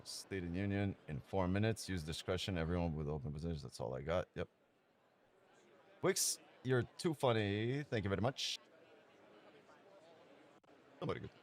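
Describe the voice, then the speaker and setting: A man's voice: low voice, expressive, moderate pace, pauses often. Profane joking man hosting a stream. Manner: joking, profane, laughs often. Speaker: day-trading livestream host. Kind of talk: casual livestream chat.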